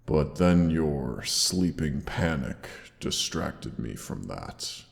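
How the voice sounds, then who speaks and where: A man playing a tender, protective male dragon in an intimate audio roleplay, speaking with old-fashioned endearments in a gravelly voice. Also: big pitch swings, full character voice. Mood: gentle, reflective. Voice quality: very deep, gravelly. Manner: slow, archaic, tender endearments. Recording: long cavernous reverb.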